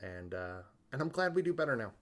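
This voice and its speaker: A man with a mid-pitched, very animated voice chats casually as a podcast co-host. His pitch swings widely as he offers wry commentary on films. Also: fairly quick.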